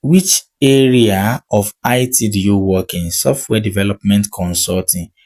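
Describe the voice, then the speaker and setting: A man's voice: fairly low pitch, a little slow, a little mellow. A man whose first language is not English, walking viewers through a screen-share tutorial as an online money coach, reading on-screen text aloud and often checking in with "okay?".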